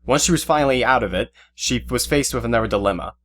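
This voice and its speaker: A man's voice: medium pitch, expressive, fast talker, a bit bright, a little hiss or hum. A man reading scripted story narration for an audio drama. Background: music, guitar.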